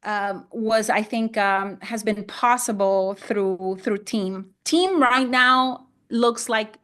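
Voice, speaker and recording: This is a middle-aged woman from Honduras who grew up speaking Spanish. Her voice sits at an average pitch for a woman. She talks like an interview guest, conversationally, repeating words and rewording as she goes. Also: takes it slowly, fairly bright tone. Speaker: podcast guest, land investor.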